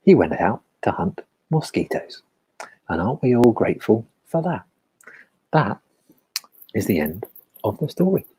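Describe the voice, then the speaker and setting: A man with a British accent, telling a nature story aloud to listeners on a video call in a gentle storyteller's manner. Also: fairly low pitch, sing-song, a little slow, pauses often, a little hiss or hum, rough recording. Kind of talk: oral storytelling.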